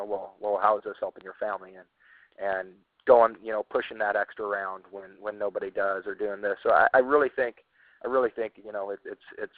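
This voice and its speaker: A man's voice: fairly low pitch, no room sound. A man, a professional fighter, giving an earnest, hesitant interview answer over a tinny phone line, often repeating himself.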